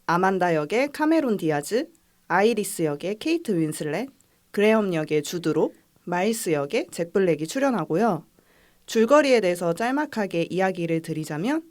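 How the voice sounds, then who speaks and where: Young woman host, mid-range voice, composed presenter reading out a film pick. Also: medium pitch. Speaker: podcast host. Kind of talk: casual chat and film recommendation.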